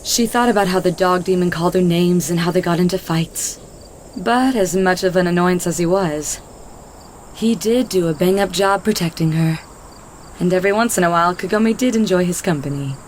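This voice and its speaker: A woman's voice: on the low side, normal speed, slight background noise. A woman narrator reading a scripted story in the third person.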